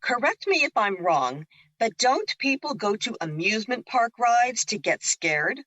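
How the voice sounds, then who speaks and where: Woman acting bright, teasing spirit Luna. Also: on the high side, big pitch swings, measured pace, no echo, dull audio, full character voice. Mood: playful, teasing. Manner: wry, needling. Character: Luna, playful, teasing, unafraid female spirit. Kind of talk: audio drama acting.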